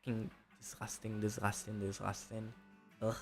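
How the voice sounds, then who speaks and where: A man's voice: on the low side, completely flat delivery, normal speed, faint music behind. A young man with a slight Spanish accent, chatting casually as a streamer about a trip, rambling, correcting himself and swearing now and then. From Spain.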